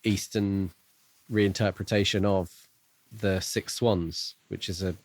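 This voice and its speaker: A man co-hosting a casual book discussion, speaking in a measured, reflective way. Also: low-pitched, steady tone, no room sound. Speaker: podcast co-host.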